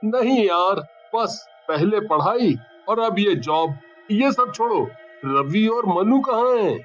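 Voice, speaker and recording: An earnest, questioning young man played by a man in a cartoon story, with a high-pitched voice. The recording is dull, with faint music behind the words. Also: lively intonation, slow pace. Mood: friendly. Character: earnest, curious young man visiting his village home.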